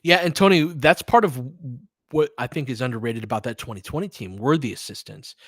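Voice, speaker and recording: A man's voice: mid-range voice, a little fast, no room sound, clean studio sound. A man giving informal live-stream play-by-play and commentary, thinking aloud as he chats with listeners.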